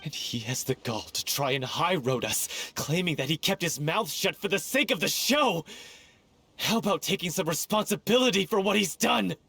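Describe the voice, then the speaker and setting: A man's voice: fairly high pitch, expressive, moderate pace, creaky, sharp tone, slightly rough, no room sound, exaggerated voice. A man playing a hot-tempered, grieving troupe member in a video game, accusing others in an emphatic, confrontational way. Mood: outraged, grieving.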